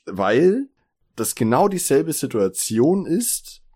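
A man's voice: on the high side, very animated, slow, warm tone, slightly rough. A man co-hosting a podcast in casual, teasing conversation, often chuckling. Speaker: podcast co-host.

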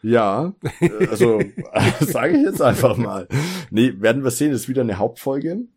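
A man's voice: mid-range voice, expressive, normal speed, a little mellow, breathy edge. A man co-hosting a casual podcast review chat, speaking with enthusiasm and appreciation. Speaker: podcast co-host.

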